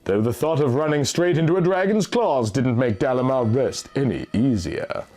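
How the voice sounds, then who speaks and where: A man's voice: medium pitch, lively intonation, normal speed, warm tone. A man reading a fantasy story aloud as dramatic, theatrical scripted narration. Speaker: audiobook reader.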